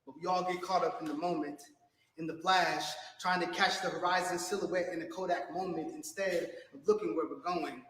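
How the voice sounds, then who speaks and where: A man performing spoken word poetry on stage in a hall, with dramatic, measured phrasing. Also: high-pitched, fairly even intonation, a bit bright, slight background noise. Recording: echoey hall, distant from the mic.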